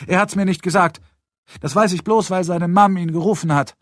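A little girl voiced by a man, high in pitch and childlike. She chatters on without being fazed, stating things matter-of-factly in clean, dry audiobook sound. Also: moderate pace, no echo, natural voice. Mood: eager, chatty. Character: chatty, unfazed little girl with a teddy bear. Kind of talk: audiobook character voice.

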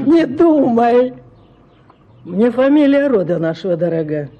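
A man's voice: very high voice, phone-quality sound, exaggerated voice. A man playing a bossy lecturing noblewoman. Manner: domineering, lecturing, mocking laugh. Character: bossy pious provincial noblewoman, middle-aged. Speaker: actor in a radio play. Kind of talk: classic radio drama acting.

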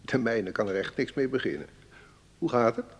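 Man acting resigned court clerk, radio play, husky, medium pitch, informal, chummy. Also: moderate pace, close to an everyday voice. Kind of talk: radio play, informal memo read aloud.

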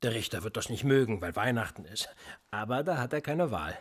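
Husky, probing police interrogator. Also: male voice, lowish voice, lively intonation, brisk. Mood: pressing. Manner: probing and insistent, pressing with pointed questions. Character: male police interrogator, calm, probing, manipulative. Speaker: police officer. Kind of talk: radio play acting.

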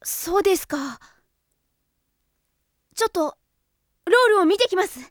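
A woman playing an earnest, gentle heroic robot boy in an anime audio drama, speaking politely and reassuringly. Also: very high voice, lively intonation, a little slow, no echo, studio quality. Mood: concerned, gentle. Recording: music behind the voice.

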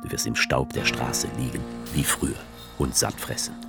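A grim, very deep-voiced writer. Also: male voice, fairly even intonation, moderate pace, creaky. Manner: stark, incantatory recitation. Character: grim male writer recounting a staged war. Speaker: author character narrating. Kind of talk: radio drama narration. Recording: music under the voice.

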